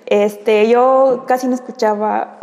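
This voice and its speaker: A woman's voice: a little high, steady tone, slow pace, creaky, mellow, big-room sound. A young woman from Yucatán with a mixed Yucatecan Mexican accent, chatting casually and laughing often. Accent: mixed Yucatecan Mexican Spanish. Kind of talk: casual chat.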